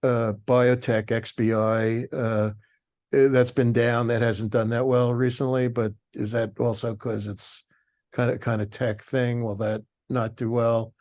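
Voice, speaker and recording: A man's voice: mid-range voice, level tone, darkish tone. Hesitant man asking questions. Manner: hesitant, full of pauses and restarts. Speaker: audience member asking questions. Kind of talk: halting questions on a call.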